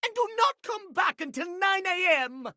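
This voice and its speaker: A man's voice: extremely high pitch, sing-song, normal speed, sharp tone, dry recording, studio quality, exaggerated voice. A man voicing a fussy, pompous male clock obsessed with punctuality in a video game, with prim, haughty, precise elocution. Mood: outraged. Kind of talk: theatrical video game character acting.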